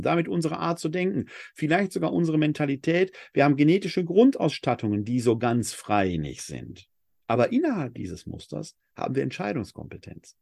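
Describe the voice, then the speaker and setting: A man from Essen giving a Bible lecture, reading scripture slowly and with weight before explaining it reflectively. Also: a little high, vocal fry, darkish tone, no room sound, clean studio sound. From Germany (Essen). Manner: reads scripture passages slowly and with emphasis, then explains in a reflective tone. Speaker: Bible teacher.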